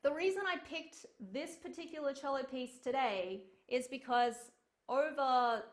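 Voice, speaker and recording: A young woman gives a lecture over a video call. Her voice sits a little high, and she talks in an earnest, chatty way, filling pauses with ums and uhs. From Australia. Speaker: cellist giving an online lecture. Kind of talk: online lecture presentation. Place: home room on a video call.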